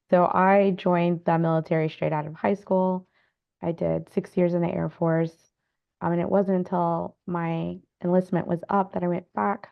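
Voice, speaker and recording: Creaky, lowish-voiced woman on dull audio. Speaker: panel guest, novelist. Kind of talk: casual personal storytelling.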